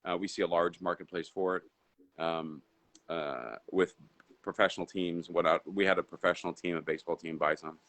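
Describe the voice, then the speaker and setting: A man with a fairly low voice, from Indonesia and a native Indonesian speaker, chats as a guest over a video call. He talks loosely and rambles, stacking "um"s and restarting his sentences. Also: fairly even intonation, quick pace, a bit bright. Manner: rambling, filler-heavy, restarts often. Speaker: guest entrepreneur. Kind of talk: casual live interview chat.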